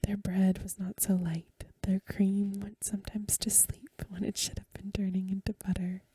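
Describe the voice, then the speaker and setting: Soft breathy whispering woman reading aloud. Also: medium pitch, level tone, normal speed, dry recording. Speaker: ASMR reader and storyteller. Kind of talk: soft-spoken ASMR story reading. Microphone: close microphone.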